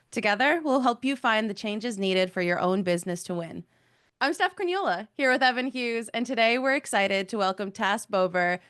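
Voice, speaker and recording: A woman's voice: mid-range voice, a bit bright. A woman co-hosting a marketing podcast, reading the show intro and then asking questions in an upbeat, friendly way. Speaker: podcast co-host.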